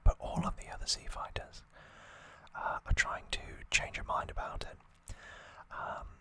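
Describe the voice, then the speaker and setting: Asmr person whispering a story recap, all breath, crisp bright hiss, kinda halting and wry. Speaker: ASMR host.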